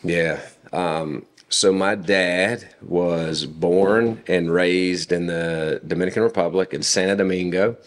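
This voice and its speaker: A very low-pitched man in his forties speaks as a podcast guest. He tells stories about his family in an unhurried, conversational manner, often pausing on fillers. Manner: relaxed storytelling with frequent fillers. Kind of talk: casual conversation.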